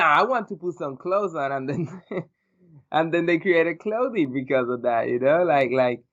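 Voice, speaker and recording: A man co-hosting a casual podcast, talking in a laid-back way and laughing easily. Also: on the high side. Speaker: podcast co-host. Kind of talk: casual chat. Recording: dull lo-fi sound.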